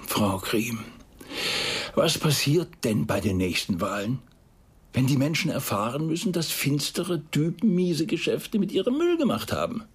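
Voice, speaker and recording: An actor playing a patronising police chief in a radio crime drama, lecturing in a cutting, rhetorical way with a husky, gravelly voice. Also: male voice, fairly high pitch, very animated, a little slow, full character voice. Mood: lecturing, condescending. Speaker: head of a police investigation unit.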